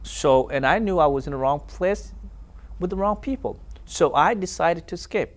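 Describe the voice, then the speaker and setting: A young man from South Sudan whose first language is Dinka, giving earnest personal testimony as a TV interview guest, halting with many fillers, recorded on a lapel mic in a studio. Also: on the high side, animated. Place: TV studio set.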